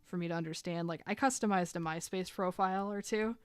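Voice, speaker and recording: A woman's voice: lowish voice, steady tone, brisk, clean tone, no room sound, faint music behind. A woman in her thirties chatting casually while drawing on a live stream, rambling and filling gaps with "like". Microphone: desk microphone.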